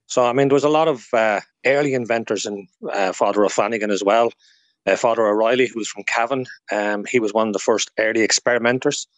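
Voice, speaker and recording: A man's voice: lowish voice, fairly even intonation, fast, no room sound. Irish man explaining history, dry close sound. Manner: informative, storytelling. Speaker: guest expert, radio historian. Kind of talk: informal explanatory interview talk.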